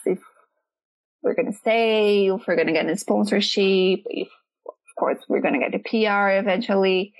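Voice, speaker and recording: Brazilian woman interviewee, non-native English, vocal fry, thoughtful and searching. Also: medium pitch, low-quality recording. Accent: Brazilian Portuguese. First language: Portuguese. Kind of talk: casual interview chat.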